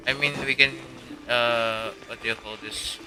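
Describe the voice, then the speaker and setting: A man's voice: mid-range voice, fairly even intonation, measured pace, low-quality recording. A young man chatting casually on a live game stream with friends, slangy, rambling and jokey. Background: faint game music.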